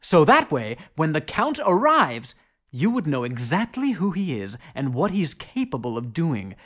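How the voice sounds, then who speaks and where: Casting: genial young 1840s Paris aristocrat, lightish high voice, theatrical storyteller, lively intonation, audio drama. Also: male voice, measured pace, exaggerated voice. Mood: eager, dramatic. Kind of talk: period audio drama acting.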